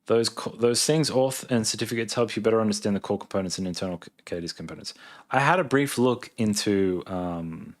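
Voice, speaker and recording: An Australian man in his thirties with a fairly low-pitched voice. He talks casually, as a livestreamer does, reading out questions and thinking aloud as he answers. His manner is laid-back and conversational. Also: fairly quick. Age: 30s. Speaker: live streamer, software engineer.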